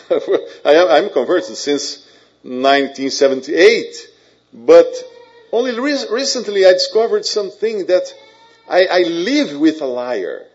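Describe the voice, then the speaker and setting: Slow Brazilian-accented male preacher. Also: fairly high pitch, big pitch swings, darkish tone, soft background music. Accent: Brazilian Portuguese. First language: Portuguese. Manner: halting, repeats words, joking asides. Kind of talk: sermon. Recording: dull, muffled sound.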